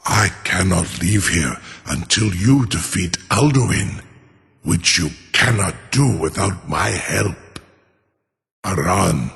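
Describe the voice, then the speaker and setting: An ancient dragon from a fantasy game, proud and cunning. The voice is low and crackles with gravel, wrapped in long cavernous reverb. Words are formal and grandiose, each one savored. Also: male voice, full character voice. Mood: sly bargaining, then wounded pride. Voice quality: deep, gravelly growl. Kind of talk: fantasy video game acting.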